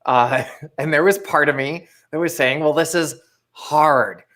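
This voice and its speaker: A man's voice: fairly high pitch, a little slow, fairly bright tone. A young man co-hosting a live motivational stream, talking casually and eagerly reading viewers' chat messages aloud. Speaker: co-host of live motivational show.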